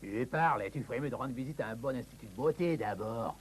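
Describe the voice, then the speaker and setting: A man's voice: mid-range voice, lively intonation, fast, heavy background noise, lightly acted. A man voicing a wry, theatrical talking magic mirror that speaks in rhyme in a cartoon. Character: sardonic rhyming magic mirror.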